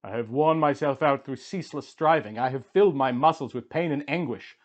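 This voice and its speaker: A man's voice: mid-range voice, brisk, lightly acted. A man voicing an anguished, weary hero-king mourning in a declamatory, dramatic reading of an ancient epic. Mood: weary, forceful. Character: Gilgamesh, anguished grieving male hero-king, weary wanderer.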